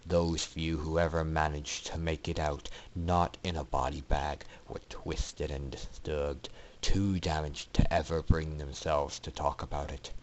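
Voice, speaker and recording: A man reads a dark fantasy story aloud. His delivery is theatrical and emphatic, leaning hard into the drama of the scripted narration. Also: rumbling low, a little hiss or hum, poor audio. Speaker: amateur story reader.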